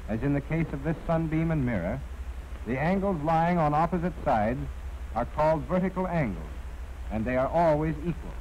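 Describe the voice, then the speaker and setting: An American man reading scripted instructional narration in a formal, measured, precisely enunciated way. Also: fairly high pitch, darkish tone. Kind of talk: scripted training film narration. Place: narration recorded for an archival film. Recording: dull, noisy 1940s film soundtrack.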